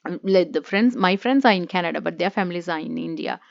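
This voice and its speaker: Sri lady vlogging advice, lowish smooth voice, lots of ums, kinda dull lo-fi audio. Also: quick pace, no echo. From Sri Lanka. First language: Sinhala. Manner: earnest and advising, many "um" and "uh" fillers, frequent restarts. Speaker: YouTube host, former immigration consultant. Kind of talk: casual talking-to-camera vlog.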